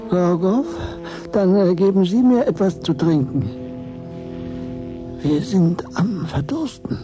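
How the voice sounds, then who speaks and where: A weary, imperious space castaway, speaks slowly in a lowish female register, halting and sinking toward whispers. Loud music plays behind this radio drama performance. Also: animated. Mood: parched, delirious. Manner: languid, halting, breaking into whispers. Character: weary, parched stranded space traveler, bitter and imperious. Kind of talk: German radio drama acting.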